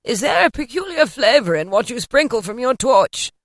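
Woman voicing an old male miser, stammering, grudging, high-pitched for a man, dry close sound. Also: big pitch swings, moderate pace, no echo. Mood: questioning. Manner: hesitant, stammering questions. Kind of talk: audiobook character voice.

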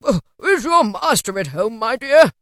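Woman doing an eager kindly old gent from a storybook, high and loud, gushing politely. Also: sing-song, measured pace, dry recording, clean studio sound. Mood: cheerful, eager. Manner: exclamatory, effusive, polite. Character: jubilant, reformed old man, effusively kind and eager. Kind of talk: dramatised storybook character voice.

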